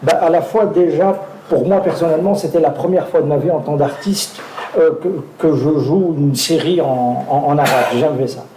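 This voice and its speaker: A man's voice: fairly high pitch, moderate pace, echoey room, noisy background. A man, an actor guest telling anecdotes on stage, hesitant with many fillers and restarts, often laughing. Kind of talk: live talk on stage, storytelling.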